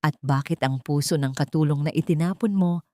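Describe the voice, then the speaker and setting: A woman's voice: sits low, a little fast, mellow, no echo, clean studio sound, close to an everyday voice. A woman voicing a frail but firm blind old grandmother in an audio drama, grave and steadfast. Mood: grave, challenging.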